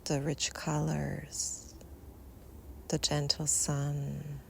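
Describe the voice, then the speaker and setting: A woman softly guiding a meditation without hurry, with long pauses and breath at the ends of her phrases. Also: sits low, monotone, gravelly. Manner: soft, unhurried, with long pauses. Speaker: meditation guide. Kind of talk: guided Yoga Nidra meditation. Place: outdoors in nature. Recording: outdoor recording with faint ambient noise. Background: faint natural ambience.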